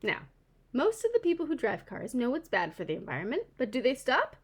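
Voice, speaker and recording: Lady doing a sassy mama opossum from a kids book, super indignant n argumentative, loud high voice all over the place. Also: wildly swooping pitch, brisk, breathy edge, exaggerated voice. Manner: emphatic, argumentative rhetorical questioning. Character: indignant sassy mother opossum, defending her kind.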